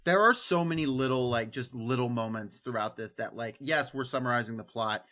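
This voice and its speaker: A man with a medium-pitched, bright and forceful voice speaks as a podcast co-host. He is casual and emphatic, working through his points and dropping in quoted lines. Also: a little fast. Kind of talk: casual book discussion.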